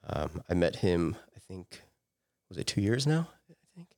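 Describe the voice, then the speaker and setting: A man's voice: deep voice, very animated, unhurried, a little mellow. A man hosting a podcast, introducing his guest and asking questions in an easygoing, friendly way. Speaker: podcast host. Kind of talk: casual podcast interview.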